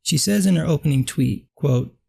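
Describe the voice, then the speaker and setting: A man's voice: on the high side, normal speed, creaky, dark tone, breathy edge. A man with a dry voice delivering a sarcastic, blunt scripted film commentary. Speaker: film critic vlogger.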